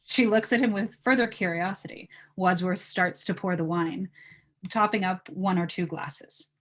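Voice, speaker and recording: A woman's voice: on the low side, moderate pace. A woman reading stage directions as narrator in a casual live table read.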